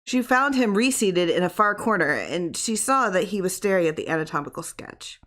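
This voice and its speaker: A woman reading a literary horror story aloud in expressive audiobook narration. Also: on the low side, moderate pace. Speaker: audiobook reader.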